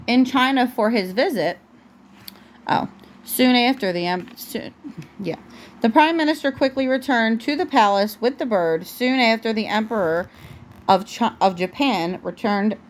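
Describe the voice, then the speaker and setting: A woman with a fairly high voice reads a children's storybook aloud at home. She is a mother reading to her young child, and her reading halts as she breaks off for asides and corrections. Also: a little hiss or hum.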